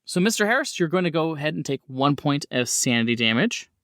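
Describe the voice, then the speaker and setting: Male game master, higher-pitched and animated, conversationally explaining rules and scenes. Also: fast talker, no echo, studio quality. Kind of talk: running a horror tabletop roleplaying game.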